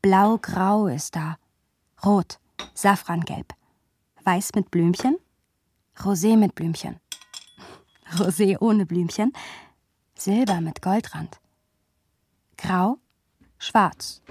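A woman's voice: medium pitch, drawn-out words, pauses often, a little mellow, slightly rough. A woman playing a young woman who narrates a radio play and teases her friend, wry and observant. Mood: wry, reflective. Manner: wry, observant storytelling mixed with playful dialogue.

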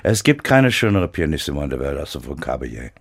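A man's voice: rumbling low, big pitch swings, vocal fry, breathy edge. A man whose first language is English, with an American accent, speaking German as a radio interview guest and often stopping to search for words. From United States. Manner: halting, searching for German words, frequent restarts. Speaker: guest pianist.

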